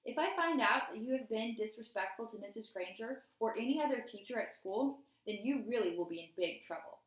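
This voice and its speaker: A woman's voice: on the high side, expressive, a little fast, natural voice. A woman voicing an outspoken, practical mother in a storybook, firm and challenging. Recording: roomy, echoey indoor sound.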